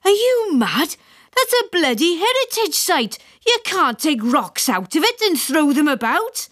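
A woman's voice: sits high, big pitch swings, unhurried, a bit bright, voice pushed up, heavily acted. A woman voicing an outraged, pedantic, exasperated father in an audiobook story. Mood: outraged screaming.

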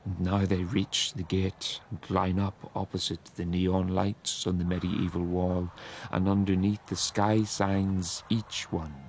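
A man giving a measured, declamatory reading of a long poem. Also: low-pitched, little pitch movement, darkish tone, a little hiss or hum. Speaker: poetry reader. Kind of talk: dramatic poetry reading.

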